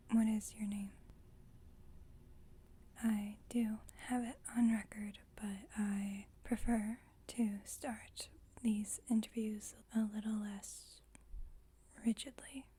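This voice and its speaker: A woman playing a composed, formal vampire coven leader in an ASMR roleplay, whispering softly in a measured, instructive, gently wry way. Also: medium pitch. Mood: calm, formal. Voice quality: breathy, whispered, soft.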